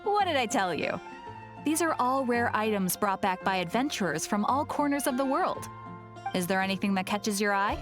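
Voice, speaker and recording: An actress playing a cheerful, sly shopkeeper of rare curios in a video game, with welcoming, playful sales patter. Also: female voice, medium pitch, expressive, normal speed, over music, lightly acted. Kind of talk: video game character acting.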